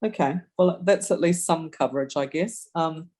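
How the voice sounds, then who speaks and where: A woman's voice: low-pitched, normal speed, darkish tone, slight background noise. A woman from New Zealand with a slight New Zealand accent, a resident on a video call pressing officials with pointed questions at a community meeting. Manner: direct, pressing follow-up questions.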